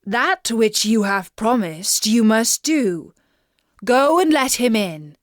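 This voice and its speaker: A stern, fatherly king from a storybook, as a woman narrator puts him on. He speaks in formal, measured phrases with calm command. The sound is close and dry. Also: a little high, no room sound. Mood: firm command. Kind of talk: children's storybook character voice.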